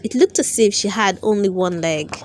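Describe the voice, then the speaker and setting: A woman narrating a children's storybook aloud, with careful, expressive storytelling. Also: mid-range voice, normal speed, slight background noise. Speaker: storyteller, channel host.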